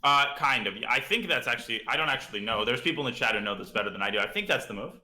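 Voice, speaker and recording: A man's voice: medium pitch, animated, rapid-fire, bright, forceful tone, echoey room. A man with a slightly parched throat, chatting casually as he teaches chess on a live stream and thinks aloud about moves.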